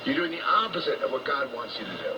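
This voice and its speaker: An American man preaching on shortwave radio in a blunt, confrontational, profane rant, halting and repeating himself. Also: medium pitch, very animated. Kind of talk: unscripted ranting sermon. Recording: noisy muffled shortwave radio broadcast.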